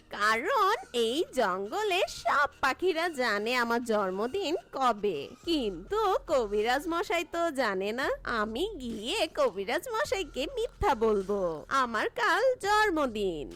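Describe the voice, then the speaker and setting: A woman voicing a sly, envious cartoon crow, cackling and wheedling with drawn-out delivery. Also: high-pitched, fairly bright tone, full character voice. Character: sly, envious, scheming crow who lies sweetly. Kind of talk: animated cartoon character acting.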